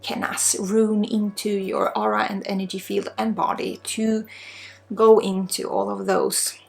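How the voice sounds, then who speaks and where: A woman from Sweden with a Swedish accent guides a spiritual healing ASMR session in a soft, breathy, informal and halting way, often dropping toward a whisper. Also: mid-range voice, little pitch movement. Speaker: spiritual guide, energy healer. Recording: soft music under the voice. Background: soft music.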